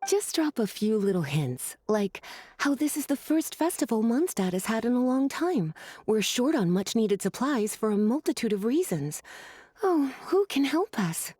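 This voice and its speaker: A woman's voice: fairly high pitch, sing-song, moderate pace, dark tone, no room sound, clean studio sound. A woman playing a teasing, persuasive scholar with a coaxing, playful manner in an anime game.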